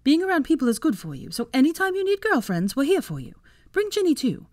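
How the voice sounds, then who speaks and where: A woman voicing a sensitive, supportive female friend in a story, gentle and encouraging. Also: high voice, very animated, quick pace, no room sound, clean studio sound, pitched above the performer's own voice.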